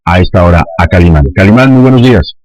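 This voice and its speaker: A Colombian man hosts a live radio show. His voice is on the low side. He talks as a news presenter and interviewer on air. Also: animated, fast, a little mellow, low-quality recording. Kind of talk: live morning radio talk.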